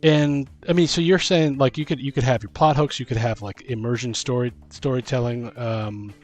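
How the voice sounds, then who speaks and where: A man's voice: mid-range voice, steady tone, normal speed, a little mellow, soft background music. A man hosting a casual discussion of tabletop role-playing games, thinking aloud in a rambling way. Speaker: podcast host.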